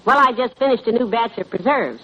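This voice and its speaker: A woman playing a sensible, patiently exasperated housewife in old radio comedy, gently chiding in a homely way. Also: a little high, animated, brisk. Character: sensible, patiently exasperated middle-aged housewife, affectionately teasing. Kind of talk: 1950s American radio comedy acting. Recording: dull old radio recording, studio audience.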